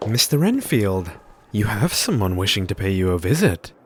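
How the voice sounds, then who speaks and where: An actor playing an uneasy, courteous asylum doctor with a hesitant, formal manner in an audio drama. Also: male voice, on the low side, sing-song, normal speed, background noise.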